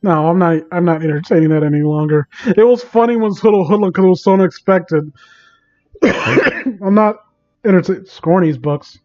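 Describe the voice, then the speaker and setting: A man doing a casual livestream reading and commentary, exasperated, sarcastic and sweary, with a halting delivery. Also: high voice, darkish tone, highs cut off. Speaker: live streamer reading and mocking online fan fiction.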